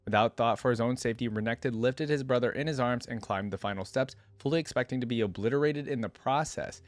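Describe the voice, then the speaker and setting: A man's voice: mid-range voice, fast talker, no room sound. A man hosting a reaction video, talking conversationally and thinking aloud as he comments and reads lore.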